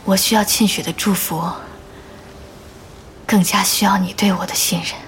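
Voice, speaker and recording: Soft-voiced gentle woman voiced by an actress, music behind. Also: medium pitch, steady tone, normal speed, natural voice. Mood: tender, sorrowful. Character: gentle, earnest grown woman, protective and teasing partner. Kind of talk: modern Chinese radio drama acting.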